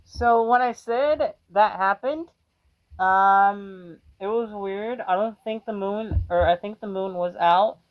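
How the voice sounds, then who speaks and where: A man's voice: high voice, slow pace, smooth. A man casually rambling through his reaction with lots of hesitation and fillers. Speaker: reaction video host. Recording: dull lo-fi mic.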